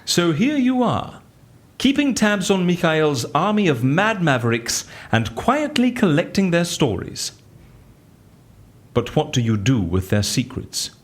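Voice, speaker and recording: An actor playing an excitable, inquisitive schemer in an audio drama, with a theatrical, incredulous manner. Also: male voice, a little high, a little slow. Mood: curious. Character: excitable, inquisitive man scheming inside a prison.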